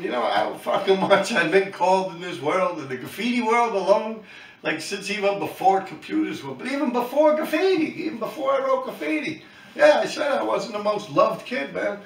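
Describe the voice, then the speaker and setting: Gravelly New man in his fifties. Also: high voice, roomy sound, far from the mic, rough recording. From New York City. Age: 50s. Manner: blunt, profane, talks in loose run-on asides. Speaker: YouTube channel host, graffiti writer. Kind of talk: casual rambling monologue to viewers.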